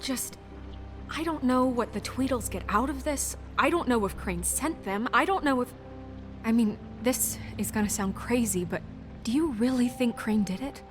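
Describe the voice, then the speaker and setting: A woman's voice: on the high side, expressive, moderate pace, faint music behind, close to an everyday voice. A woman voicing an earnest, businesslike office administrator in a video game, with naturalistic, hesitant phrasing. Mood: troubled, unsure. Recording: faint score under the voice.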